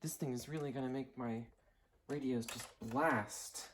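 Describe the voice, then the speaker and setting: A young man in his late teens giving a casual, unscripted product demo in a halting, understated way. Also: medium pitch, background noise. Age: late teens, young adult. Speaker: hobbyist reviewer. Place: indoor room at a desk.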